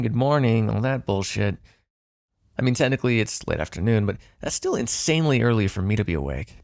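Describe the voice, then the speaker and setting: A man's voice: medium pitch, vocal fry, dry recording, studio quality. A man playing a flirtatious, sardonic vampire in an ASMR roleplay, talking in a casual, teasing way with a hint of menace. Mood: wry, teasing. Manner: teasing, wry, casual conversational delivery.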